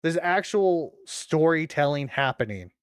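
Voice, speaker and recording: A man's voice: on the high side, lively intonation, measured pace, clean tone, no echo, studio quality. A man livestreamer talking casually to his chat, informal and teasing, with some swearing. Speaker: livestreamer hosting a watch-along.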